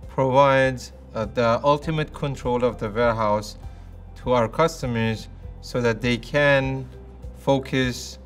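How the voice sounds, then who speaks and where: A man's voice: medium pitch, fairly even intonation, slow, faint music behind. A middle-aged man in a corporate interview, explaining technical ideas with many hesitant fillers. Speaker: company expert. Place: office interview set, indoors.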